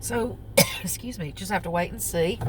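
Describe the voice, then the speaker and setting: A woman's voice: medium pitch, moderate pace, background noise. A woman with a Southern accent, chatting casually to camera as a crochet vlogger, rambling with many ums and self-corrections. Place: outdoors on a lunch break.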